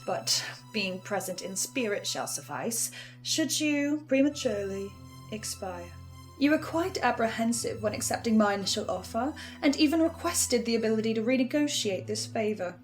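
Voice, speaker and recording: Woman performing a measured, ominously polite letter reader. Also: a little high, lively intonation, faint music behind, lightly acted, poor audio. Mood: quietly menacing. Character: formal, menacing, composed woman reading a manipulative supernatural letter. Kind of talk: horror audio drama statement reading.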